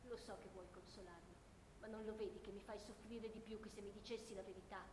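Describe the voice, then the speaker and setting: A woman's voice: mid-range voice, steady tone, fast talker, lightly acted. A woman playing a grieving, insistent peasant mother of a dead soldier in an Italian radio play. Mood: anguished, pleading. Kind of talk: Italian radio theatre monologue and dialogue. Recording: echoey reverberant room, faint noise.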